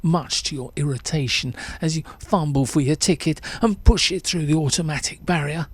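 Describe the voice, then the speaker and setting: A man's voice: on the high side, normal speed, darkish tone, slightly rough, no echo. A man narrating a suspenseful short story in the second person, with theatrical delivery.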